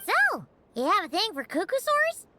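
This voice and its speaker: A woman voicing a small, cheeky, excitable fairy companion in an animated video game. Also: very high voice, moderate pace, full character voice. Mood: chatty. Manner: excitable, childlike, speaks of herself in third person. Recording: loud music under the voice.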